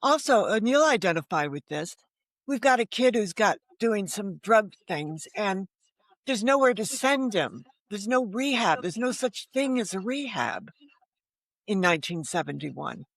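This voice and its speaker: A woman's voice: mid-range voice, big pitch swings, unhurried, dry recording. An American woman in her seventies chatting in a casual interview, anecdotal and emphatic in how she talks. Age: 70s. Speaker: podcast guest, novelist.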